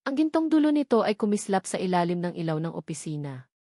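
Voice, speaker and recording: A woman's voice: lowish voice, very animated, quick pace, mellow, no echo, studio quality. A woman with a Filipino accent reading a scripted dramatic story as its narrator.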